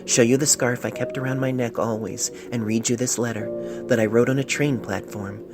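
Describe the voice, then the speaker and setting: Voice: mid-range voice, fairly even intonation, brisk, music in the background, close to an everyday voice. An amateur narrator voicing a loving, sentimental pony reading out a love letter earnestly and tenderly, recorded on a phone.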